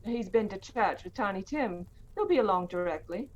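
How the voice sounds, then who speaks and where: A bustling Victorian mother acted by a woman in a radio-style drama, fond and fretful. Her pitch rises and falls widely, on a slightly muffled recording with faint noise. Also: animated, moderate pace, lightly acted. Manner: motherly, bustling, homely period speech. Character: fond, fussing, worried working-class Victorian mother. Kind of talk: audio play acting, old radio drama style.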